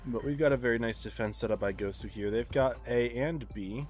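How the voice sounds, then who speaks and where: A man casting an esports match on a live stream, congested and tired, calling the play in a relaxed, subdued way. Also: medium pitch, fairly quick, faint music behind. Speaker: esports caster.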